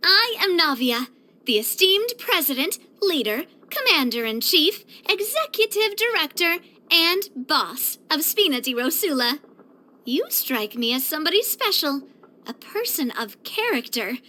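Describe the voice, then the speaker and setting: Bubbly young game girl boss, super high bright voice, playful n kinda grand, affectionate. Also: very animated, unhurried, full character voice. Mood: proud, welcoming. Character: spirited young woman, proud boss, playful, affectionate, upbeat. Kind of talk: scripted video game character voice lines.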